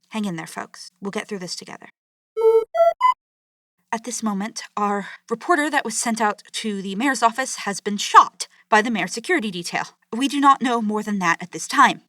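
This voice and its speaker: A woman's voice: mid-range voice, pushed and bright, no echo, studio quality. A woman playing an earnest, dramatic crisis news anchor in an audio drama, formal and grave. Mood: alarmed.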